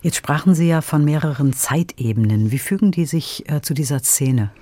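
A man's voice: on the high side, moderate pace, mellow, no echo. A man hosting a radio book discussion, commenting and putting questions to a reviewer. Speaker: radio host. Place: dry radio studio.

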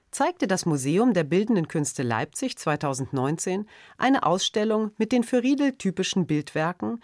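Woman radio presenter reading a scripted intro, low voice, very lively melody, dry studio sound. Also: no room sound.

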